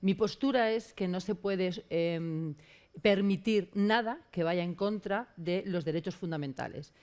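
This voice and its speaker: A woman on a conference panel answering a question with her own opinion in a firm, measured manner. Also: fairly low pitch, darkish tone.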